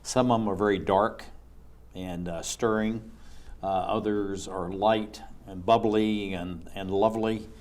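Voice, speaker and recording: A man's voice: lowish voice, steady tone, a little slow, darkish tone. A man hosting an interview show, asking long rambling and enthusiastic questions. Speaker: talk show host.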